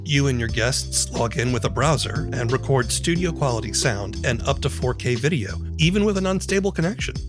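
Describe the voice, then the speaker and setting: A man's voice: medium pitch, expressive, a little fast, few pauses. Male podcast host over music. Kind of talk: scripted podcast narration and ad reads.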